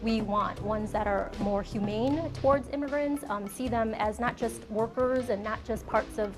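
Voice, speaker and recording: A woman advocate speaking thoughtfully in an interview, pausing often with ums. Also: on the high side, music in the background. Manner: thoughtful, with many um pauses. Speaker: immigration advocate.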